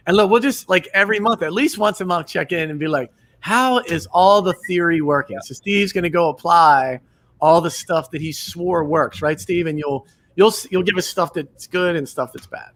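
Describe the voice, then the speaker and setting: A man's voice: high-pitched, fairly bright tone. A man hosting a podcast, joking and bantering with his guests in casual chat. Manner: joking, quick back-and-forth banter. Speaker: podcast host.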